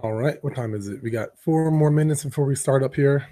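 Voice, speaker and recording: Man, hoarse, lost voice, podcast host, rambling casual chat, mellow tone, dry sound. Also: mid-range voice, brisk, no echo. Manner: relaxed, rambling, coughing. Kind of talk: casual pre-show chat. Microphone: desk microphone.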